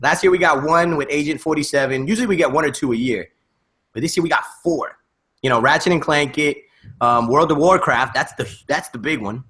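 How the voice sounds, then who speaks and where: A man's voice: fairly high pitch, fairly quick, a bit bright. A man hosting a casual podcast in slangy, emphatic hype-man banter. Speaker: podcast host.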